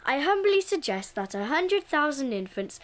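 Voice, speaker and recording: A high, lively voice of a young woman plays a pompous gentleman satirist. The manner is formal and smugly reasonable, in the style of a comic radio play. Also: normal speed. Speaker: drama student.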